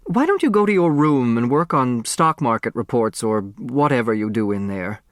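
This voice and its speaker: A man's voice: mid-range voice, very animated, normal speed, no echo, studio quality, close to an everyday voice. A man voicing a snobbish, fussy middle-aged mother in an audiobook, with a haughty manner. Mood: scolding. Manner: haughty, scolding, gushing.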